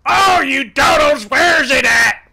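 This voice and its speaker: Blustering small-town boss, very high, piercing, raspy squawk, impatient orders, comic game roleplay. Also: male voice, takes it slowly, sharp tone, shouting, full character voice, poor audio. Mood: exasperated, demanding. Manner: barking orders and insults.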